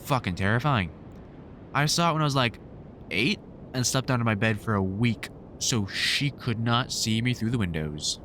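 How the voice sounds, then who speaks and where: Male actor as vampire hunter mentor for audio roleplay: wry, sweary, protective, very animated intonation, slight background rumble. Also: moderate pace, close to an everyday voice. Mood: wry reminiscing. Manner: wry, sweary, exasperated but fond banter. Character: gruff, sardonic British vampire hunter, protective, teasing mentor. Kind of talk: roleplay audio drama addressed to the listener.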